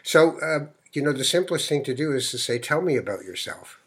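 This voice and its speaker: A middle-aged man born in Morocco, hosting a podcast interview in a relaxed, meandering way with frequent fillers. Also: on the high side, a little fast, slightly rough. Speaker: podcast host. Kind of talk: conversational interview.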